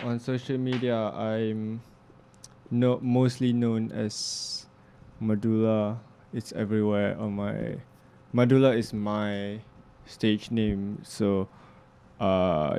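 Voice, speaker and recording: Young male interview guest; gravelly, mellow-toned, earnest, stops and restarts mid-thought. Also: fairly low pitch. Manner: reflective, earnest, halting with restarts and fillers. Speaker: interview guest, filmmaker and music producer. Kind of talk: casual interview talk.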